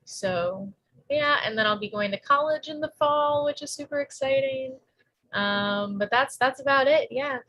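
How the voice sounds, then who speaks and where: A woman's voice: sits high, normal speed, bright, forceful tone, soft background music, low-quality recording. A young woman, an aspiring actress, casually introducing herself. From New York area. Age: young adult.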